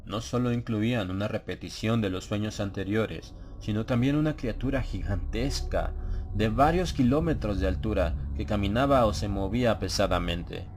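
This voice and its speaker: A man with a low voice narrates a horror audiobook. His storytelling is measured and solemn. Ambient sound effects and some background noise sit under the voice. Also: darkish tone.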